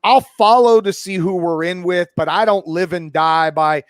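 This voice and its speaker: High-pitched, opinionated man in his forties. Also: normal speed, dry recording, studio quality. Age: 40s. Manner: opinionated, blunt, swearing. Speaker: college football pundit and podcast guest. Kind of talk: casual sports talk.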